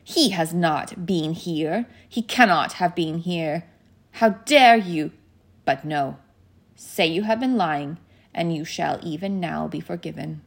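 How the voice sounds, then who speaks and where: A woman's voice: on the low side, takes it slowly, vocal fry, husky, heavily acted. A woman voicing a cruel, commanding witch queen who speaks with menace and anger in a storybook reading. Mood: roaring fury.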